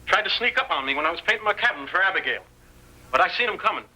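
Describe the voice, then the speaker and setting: A crusty old backwoods man in a Western radio play. His voice is piercingly sharp and rough with rasp, sitting fairly high, with a folksy rural Western American drawl and a suspicious, excitable manner. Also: very fast, heavily acted, low-quality recording. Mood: grumbling. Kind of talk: old-time Western radio drama acting. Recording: thin old radio drama recording.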